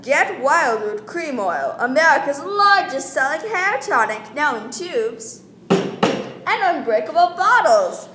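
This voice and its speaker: A woman's voice: high-pitched, sing-song, slow pace, exaggerated voice. A woman playing a persuasive announcer in an old-time radio hair tonic commercial. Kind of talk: 1940s radio commercial acting. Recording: roomy, slight noise.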